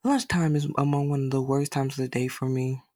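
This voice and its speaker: A woman's voice: very deep voice, fairly even intonation, a little fast, dark tone, dry recording, clean studio sound. A woman performing a monologue as a lonely, self-doubting young boy, glum and self-deprecating. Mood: fretful, resigned. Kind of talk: dramatic monologue reading.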